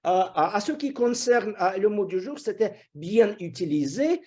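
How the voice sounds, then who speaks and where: A man who is not a native speaker, with a foreign accent, giving a prepared evaluation as grammarian in a halting way, full of filler sounds. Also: high voice, lively intonation, warm tone. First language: not French. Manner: halting, hesitant delivery with constant filler sounds.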